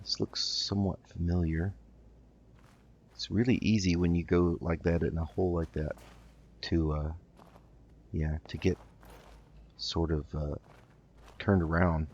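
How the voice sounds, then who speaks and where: A man doing casual game commentary, thinking aloud in an unhurried, musing way as he roleplays. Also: low-pitched, steady tone, a little mellow, husky, highs cut off. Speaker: gaming host.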